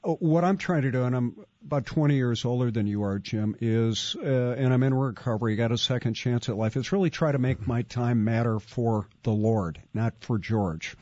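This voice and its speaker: Man in his fifties, jokey radio host, middle pitch, dry slightly dull studio sound. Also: normal speed, hardly any pauses, warm tone, dry recording. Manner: jokey, laughs often.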